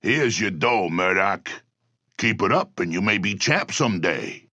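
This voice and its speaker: A man's voice: low-pitched, animated, a little slow, bright, forceful tone, dry recording, exaggerated voice. An actor playing a scheming, menacing fight fixer who barks his threats, in a vintage comic read-along record. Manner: barking, snarling threats.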